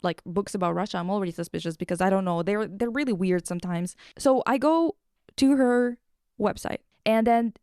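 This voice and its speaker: Female podcast host, soft-edged mellow tone, close dry sound. Casual, irreverent, swears freely. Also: medium pitch, fairly quick, clear, resonant tone, no room sound. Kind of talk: casual chat, recapping and reading quotes aloud.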